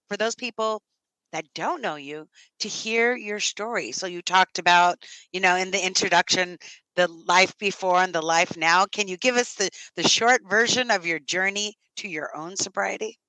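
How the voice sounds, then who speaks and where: A woman host with a bright, forceful voice, recorded close and dry with no room sound. She encourages her guest and laughs easily. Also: mid-range voice, normal speed, dry recording, low-quality recording. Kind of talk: interview hosting.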